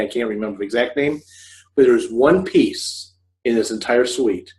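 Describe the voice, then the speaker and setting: Casting: man, forties, mid-pitched, casual explainer who wanders and backtracks, recorded at home with roomy echo. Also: a little mellow. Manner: rambling, explanatory, with self-corrections. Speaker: host of a scriptwriting video podcast, fiction writer. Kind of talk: informal instructional talk to camera. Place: living room couch, indoors.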